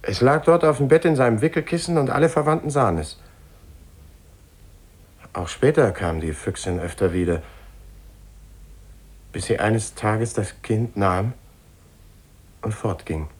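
An actor playing an uneasy cousin who tells an eerie family tale gravely in a German radio play. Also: male voice, on the low side, expressive, a little slow, pauses often.

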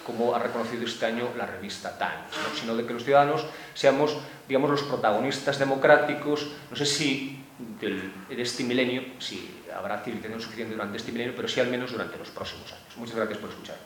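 A man giving a prepared talk on a panel, measured and argumentative, sometimes stumbling over repeated words. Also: medium pitch, background noise. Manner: measured and argumentative, with stammered repetitions. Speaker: panel speaker, activist. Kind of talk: prepared talk at a round table. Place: cultural centre lecture room. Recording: echoey room with faint ambience.

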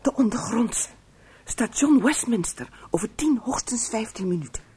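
Actress as an anxious, high-voiced woman. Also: animated, normal speed, noisy background, close to an everyday voice. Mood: urgent, hushed. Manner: urgent, pleading. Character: anxious, conscientious woman urging her partner. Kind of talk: Dutch radio play acting.

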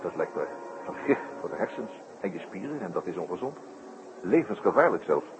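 An actor playing a composed, courteous male detective in a 1960s radio drama, speaking in a measured, polite, deliberate way. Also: low-pitched, sing-song, over music. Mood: polite, probing. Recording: noisy muffled 1960s radio drama recording.

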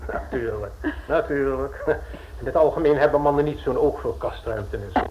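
A man's voice: medium pitch, moderate pace, dull audio, lightly acted. A man acting in a radio drama as an eager estate agent with a chatty, polite, ingratiating manner. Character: eager, smooth-talking male estate agent, chatty salesman. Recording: old radio drama recording, faint noise.

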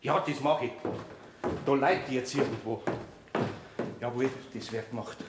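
A man's voice: medium pitch, normal speed, very noisy, close to an everyday voice. Flustered older Bavarian craftsman, played by a man, echoey. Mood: worried muttering. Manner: hesitant, muttering, bumbling. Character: flustered, good-hearted older male master craftsman. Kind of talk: children's radio play acting.

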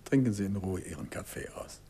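An actor playing a courteous, persistent middle-aged detective in a 1950s German radio drama, questioning softly and insistently with a husky, gravelly voice. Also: male voice, lowish voice, a little fast. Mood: gently persuasive.

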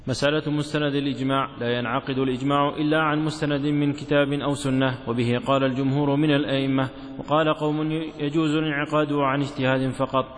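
Fast, even-toned male reader in echoing hall. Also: medium pitch. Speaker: student reader. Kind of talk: reading a scholarly text aloud. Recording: echoey hall with long reverberation.

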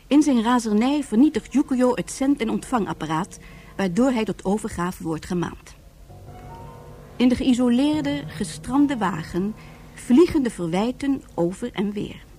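A woman's voice: fairly high pitch, lively intonation, measured pace, warm tone, husky. A woman reading scripted narration for a science fiction radio drama. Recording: faint music behind voice.

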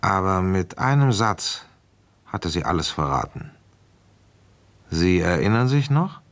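An actor playing a weary, shrewd provincial policeman recounting an old case in a radio play, deliberate, conversational and wry. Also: low-pitched, very animated. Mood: wry, reminiscing. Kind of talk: German radio play, first-person narration and dialogue.

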